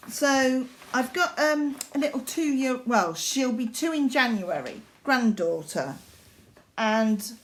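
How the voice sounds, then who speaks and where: An older woman from Yorkshire talks slowly and at ease in a fairly high voice. She chats about her crafts at home, rambling and correcting herself, with plenty of ums along the way. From Yorkshire, England. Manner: slow, chatty and rambling, with many fillers and self-corrections. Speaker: craft vlogger. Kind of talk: casual chat showing needlework.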